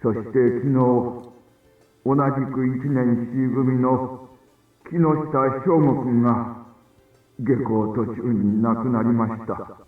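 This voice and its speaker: An actor playing a formal, grave school principal in a game, speaking haltingly through a PA speaker. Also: male voice, mid-range voice, steady tone, phone-quality sound, soft background music. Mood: solemn, formal. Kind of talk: formal school broadcast speech. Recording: echoey, thin classroom loudspeaker, distant.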